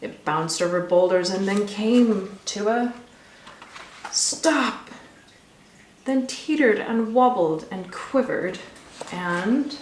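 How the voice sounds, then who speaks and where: Slow-paced woman reading in an echoey room. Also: mid-range voice, a little hiss or hum. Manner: expressive, rhythmic rhyming delivery. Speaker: zookeeper reading a children's story. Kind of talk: scripted storybook narration for children.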